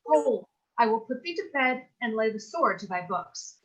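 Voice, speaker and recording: An actress playing a brisk, no-nonsense young babysitter who scolds firmly in theatrical mock-Shakespearean English. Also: female voice, a little high, lively intonation, muffled recording, lightly acted. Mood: firm, scolding. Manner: firm, theatrical. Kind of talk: Shakespearean parody staged reading.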